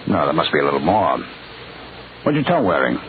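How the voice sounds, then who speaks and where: Menacing gangster on tinny old radio. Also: male voice, rumbling low, very animated, fairly quick. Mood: quietly menacing. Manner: falsely sweet, threatening. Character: smooth-talking, menacing male gangster in a radio mystery. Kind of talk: 1940s radio acting. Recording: tinny, noisy old-time radio recording.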